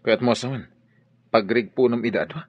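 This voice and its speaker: An actor playing a concerned, admonishing godfather with a husky voice in a radio drama. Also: mid-range voice, fairly quick, natural voice. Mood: scolding. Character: concerned, scolding godfather, older family friend. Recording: dry, muffled lo-fi radio drama.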